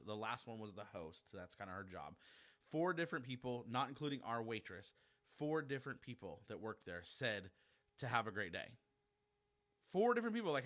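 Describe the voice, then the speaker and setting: Animated, chatty man. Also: mid-range voice. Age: 40s. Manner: chatty, animated, self-deprecating, addresses listeners directly. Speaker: podcast host. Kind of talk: casual solo podcast monologue.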